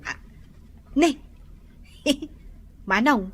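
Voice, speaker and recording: A woman's voice: medium pitch, expressive, very slow, husky, exaggerated voice. A woman acting a young, teasing, bickering sister in an Ilocano comedy radio drama, with an Ilocano accent. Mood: teasing, playful.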